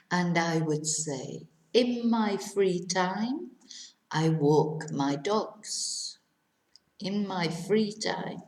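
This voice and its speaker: A middle-aged woman, teaching to Spanish speakers, repeating each question slowly and clearly. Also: fairly low pitch, darkish tone, roomy sound. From England. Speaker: English teacher. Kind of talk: language lesson for Spanish speakers.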